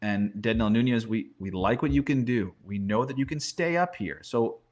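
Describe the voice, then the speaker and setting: A man with a medium-pitched voice talks casually as a baseball analyst. He thinks aloud in a conversational way, working through options and putting rhetorical questions as he goes. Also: lively intonation, normal speed, a little mellow. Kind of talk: casual sports talk, roster preview.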